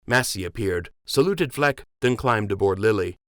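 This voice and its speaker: A man narrating an audiobook with expressive storytelling on a close studio mic. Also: lowish voice, moderate pace, clear, resonant tone, no room sound.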